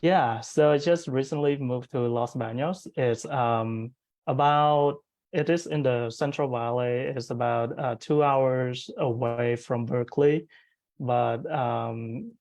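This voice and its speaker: A young man from Cambodia, a guest on an interview call, talking in an earnest, halting way with many fillers and restarts. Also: medium pitch, darkish tone, dry recording. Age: young adult. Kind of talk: casual interview conversation.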